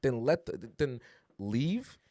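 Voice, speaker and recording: A man's voice: mid-range voice, big pitch swings, takes it slowly, warm tone, no room sound, studio quality. A man co-hosting a podcast, talking in an earnest, reflective way that builds to emphatic urging. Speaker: podcast co-host. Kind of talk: casual podcast conversation.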